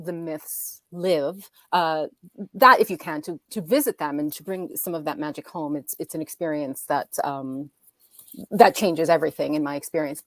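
American woman, low voice, earnest and thoughtful, dry close sound, video call panelist. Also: dry recording. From United States. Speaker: author, panelist. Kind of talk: casual panel talk. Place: home room with bookshelves.

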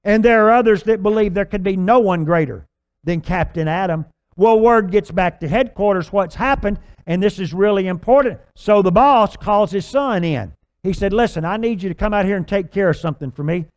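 An older man preaching a sermon, telling a long illustration story on a church stage with lively gestures. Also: high-pitched, a little fast. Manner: animated, gesturing storyteller with filler restarts. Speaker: pastor. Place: large church stage with purple lights.

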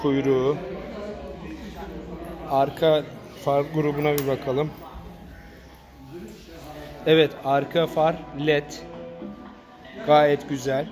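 A man filming a walkaround review in a showroom, pointing out parts in a plain, matter-of-fact way. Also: fairly high pitch. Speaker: motorcycle reviewer. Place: indoor motorcycle showroom. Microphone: handheld phone camera.

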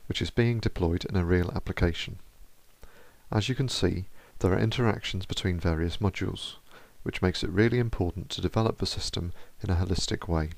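A man with a low voice and a touch of fry narrates in a steady, measured manner, like a researcher explaining his project. The recording is dry and close, with no room sound around him. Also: dry recording. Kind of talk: scripted narration.

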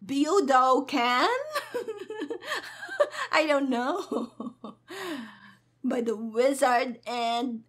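Woman, very high bright voice, casual gaming commentary, giggly, sassy, animated. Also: very slow, long pauses, breathy edge. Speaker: gaming streamer. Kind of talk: casual playful gameplay commentary.

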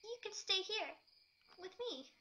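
A woman voicing a sad, lonely young female cartoon pony in a fan fiction reading, halting and fragile with a quivering voice. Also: extremely high pitch, expressive, pushed and bright, slight background noise, voice pushed up, exaggerated voice. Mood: pleading. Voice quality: quivering, weak, broken voice. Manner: halting, hesitant.

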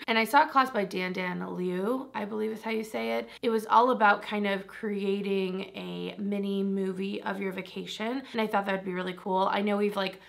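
A young woman with a raspy voice chatting to camera about beauty products in a bubbly, rambling way, laughing often. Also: medium pitch, fairly bright tone. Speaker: beauty vlogger. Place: small decorated room indoors.

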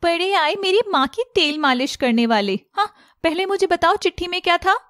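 Woman acting a young woman, high voice, curious, bold, cartoon fairy-tale heroine. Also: animated, normal speed, a bit bright, dry recording, studio quality. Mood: teasing, demanding.

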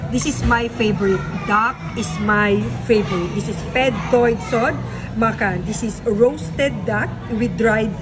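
A woman's voice: a little high, measured pace, noisy background. A woman in her thirties with a Filipino accent, a native Tagalog speaker, giving a casual food review as a vlogger in a restaurant. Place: restaurant dining room. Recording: loud music behind voice. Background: music.

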